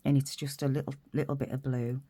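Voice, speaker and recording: A woman's voice: very deep voice, level tone, a little fast, warm tone. A woman giving a casual crochet tutorial, stuffy from a cold and chatty, wandering off mid-sentence. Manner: chatty, rambling, self-interrupting.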